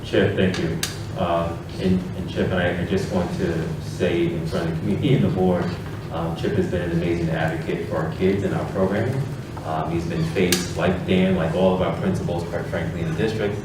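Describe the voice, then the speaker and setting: A man's voice: low-pitched, fairly even intonation, a little fast. A man chairing a school board meeting, thanking staff and moving through the agenda with many fillers. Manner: appreciative, fillers, leads transitions. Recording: echoey meeting room from far off, hum.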